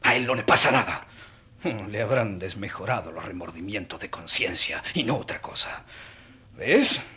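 A man playing a stern, angry, unforgiving merchant father in an audio drama, scornful and domineering, with a raspy voice. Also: lowish voice, expressive, normal speed, pushed and bright.

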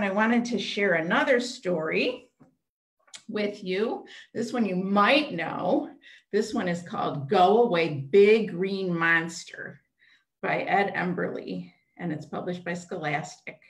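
A middle-aged woman leading an interactive storytime for young children, asking simple questions in short phrases over a video call. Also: medium pitch. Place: small room at home, indoors. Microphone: computer mic on a video call, a step away. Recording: roomy echo, webcam video call.

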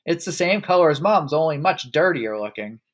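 A blunt, exclamatory storybook boy, put on by a male reader. His lines are loud and lively, swinging in pitch. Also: normal speed. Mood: shocked, blunt. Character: loud, blunt young son.

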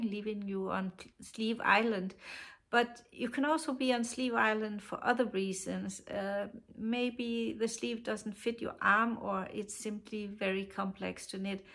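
Slow, gravelly Danish-accented woman. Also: medium pitch, a bit bright. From Denmark. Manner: slow, thoughtful, many pauses and filler sounds. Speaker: knitting podcast host. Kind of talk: casual chat to camera. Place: living room, seated on a sofa.

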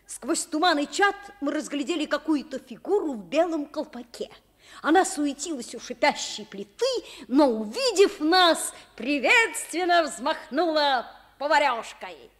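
A woman's voice: high voice, animated, unhurried. An actress playing a boastful but hesitant ten-year-old boy in a children's radio play, boyish and earnest. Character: schoolboy of about ten, would-be sailor, boastful but hesitant.

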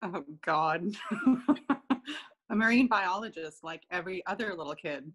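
A young woman from Pakistan, a guest on a casual video-call interview from home, easygoing and quick to laugh. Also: on the low side, lively intonation, slightly rough. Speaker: guest designer. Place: home room, indoors. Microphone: video call, webcam.

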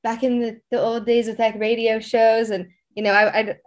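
A woman's voice: a little high, level tone, normal speed. A woman chatting casually as an interview guest, effusive and peppering her talk with "like" and "you know".

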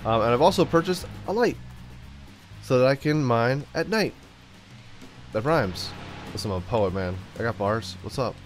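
A man's voice: medium pitch, animated, moderate pace, pauses often, soft background music. A young man with a stuffed-up cold voice, giving jokey let's-play commentary while he plays and riffing to himself. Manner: goofy, jokey, sings and riffs to himself. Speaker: gaming YouTuber.

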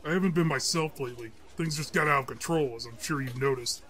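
A man's voice: medium pitch, fast, fairly bright tone, natural voice. A man playing a troubled, determined former police lieutenant in a comic-book audio drama, speaking plainly and earnestly. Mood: apologetic.

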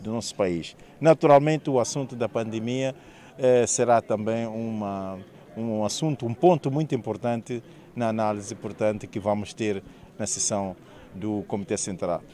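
A man's voice: lowish voice, normal speed, mellow. An older man with a Mozambican accent, a party official answering a reporter outdoors through a face mask into a handheld microphone. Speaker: party official being interviewed. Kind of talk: press interview. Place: outdoors in a paved courtyard.

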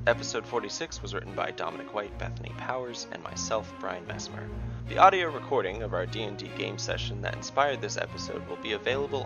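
Deep-voiced man narrating, with creaky edge. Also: dull audio. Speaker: narrator and podcast host. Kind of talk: scripted story narration.

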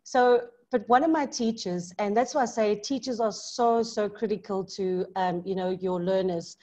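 A middle-aged woman from South Africa with a South African accent, talking in a lively, emphatic way as a maths tutor in a video call interview from her home study. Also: mid-range voice, normal speed. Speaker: maths tutor and business owner. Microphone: laptop mic on video call. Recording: muffled video call audio.

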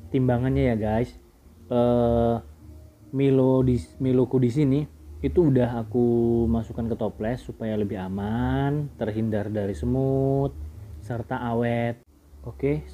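Slow, dark-voiced man explaining a drink recipe. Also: medium pitch, slight background noise. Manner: relaxed, repetitive, keeps saying "guys", trails off quietly. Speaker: home cooking video host. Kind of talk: casual step-by-step drink tutorial voice-over.

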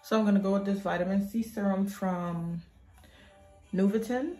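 A chatty young woman in her twenties talks straight into a phone camera held close, in a small home bathroom, with a loose and informal way of addressing her viewers. Her voice sits at a middle pitch, and clear music plays underneath her. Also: normal speed, dark tone. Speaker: vlogger, mother. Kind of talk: casual vlog chat to camera.

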